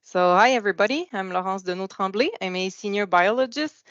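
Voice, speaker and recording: A woman's voice: medium pitch, fairly quick, a bit bright, dry recording, muffled recording. A woman with a Quebec French accent, a senior biologist giving a remote presentation politely, with frequent hesitations. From Quebec, Canada.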